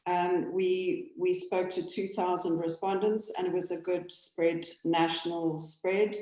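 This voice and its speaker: A woman's voice: on the low side, flat delivery, measured pace, dark tone, roomy sound, rough recording. A woman co-hosting a business webinar, speaking casually and hesitantly with frequent fillers. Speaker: research company founder and co-host.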